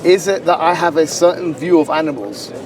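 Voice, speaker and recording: A man with a London accent arguing forcefully in an outdoor street debate, lecturing and insistent. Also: a little high, background noise. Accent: London English. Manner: argumentative, lecturing, quick and insistent. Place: outdoor public park with crowd. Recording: distant street recording with crowd around.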